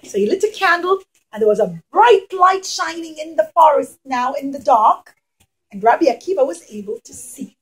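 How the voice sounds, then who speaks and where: A woman with a high voice tells a story to a group of small children. She works as a teacher-storyteller, stressing key words, repeating phrases and asking the children questions as she goes. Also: lively intonation, moderate pace, low-quality recording. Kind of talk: interactive puppet-show storytelling for young children.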